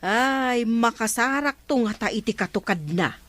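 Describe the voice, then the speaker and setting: A woman's voice: a little high, measured pace, fairly bright tone, no room sound. A woman with an Ilocano accent playing a disapproving, gossipy village neighbour in a comedy drama. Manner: gossipy, reproachful.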